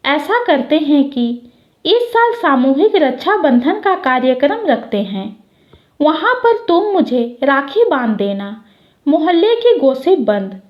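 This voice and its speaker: A woman storyteller plays a devoted, hot-tempered young brother in an audio story. The voice is high and swings widely, emphatic and protective. The recording is a little dull. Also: sing-song, takes it slowly, heavily acted. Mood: calm, teasing.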